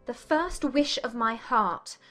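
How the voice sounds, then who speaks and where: A woman plays a proud young lady in anguish, voiced high. She exclaims her vexed, lovelorn thoughts dramatically, as in a period novel audiobook, with music underneath. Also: animated, slow pace, a bit bright. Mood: wretched, ashamed. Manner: dramatic, exclaiming inner monologue.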